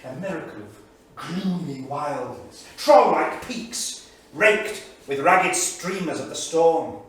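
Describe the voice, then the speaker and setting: 1938 Cambridge diarist: learned, anxious, wry, deliberate. Stage reading, distant and very roomy sound. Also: male voice, on the high side, expressive, a bit bright. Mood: vivid, wry. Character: erudite, anxious English Cambridge don keeping a 1938 journal. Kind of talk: staged reading of journal entries, theatrical. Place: small studio theatre, live staged reading. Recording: very echoey theatre, distant mic, faint noise.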